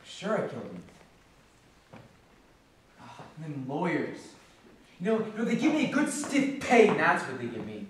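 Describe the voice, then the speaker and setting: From far off in a very reverberant space comes a male actor as a bitter, agitated working-class clerk in a stage drama. His voice sits high and swings dramatically. He rambles in halting slang, like a man confessing and justifying himself. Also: wildly swooping pitch. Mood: defensive. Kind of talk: theatrical stage monologue. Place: theatre stage. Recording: echoey distant stage recording, faint noise.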